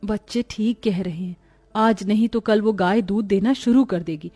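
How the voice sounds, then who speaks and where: A woman voicing a kind, devout village wife in a cartoon story, speaking softly and with compassion. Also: mid-range voice, moderate pace, faint music behind, close to an everyday voice. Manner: soft, compassionate.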